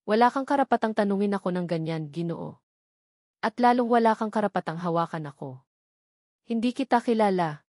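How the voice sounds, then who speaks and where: A woman's voice: mid-range voice, fairly quick, pauses often, no room sound, studio quality, natural voice. A woman voicing a guarded, frightened mother who speaks politely in clipped, controlled phrases in an audio drama. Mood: hard, defensive. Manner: forcedly calm, clipped, polite. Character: guarded, frightened mother hiding her identity.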